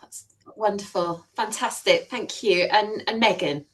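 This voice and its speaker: A woman's voice: medium pitch, measured pace, poor audio. A woman interviewing two students about their faith, encouraging and affirming, with frequent fillers. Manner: warm encouraging, many fillers. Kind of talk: church testimony interview.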